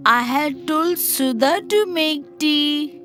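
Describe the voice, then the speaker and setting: A woman's voice: high-pitched, pushed and bright, heavily acted. A woman playing a bossy, stern older mother-in-law who scolds and demands in a cartoon moral story. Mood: bossy, scolding. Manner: scolding, slow, deliberate delivery. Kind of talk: animated moral story dialogue. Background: music.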